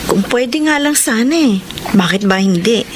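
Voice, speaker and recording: High-voiced, sorrowful young Filipina heroine, acted. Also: female voice, expressive, moderate pace, close to an everyday voice. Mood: sad, resigned. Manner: plaintive, sorrowful delivery. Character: anguished, abandoned young woman, sincere and torn. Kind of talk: Filipino radio drama acting. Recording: clearly noisy radio drama recording.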